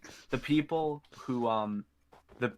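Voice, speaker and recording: A man's voice: mid-range voice, slow pace, slight background noise. A man in casual, joking group chat on a gaming livestream. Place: online group voice call.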